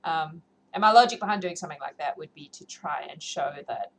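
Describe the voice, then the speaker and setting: Low-voiced woman chatting on a noisy livestream. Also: animated, fairly quick, fairly bright tone. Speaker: livestream host who reads books aloud. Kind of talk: casual livestream chat to viewers. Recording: clearly noisy livestream audio.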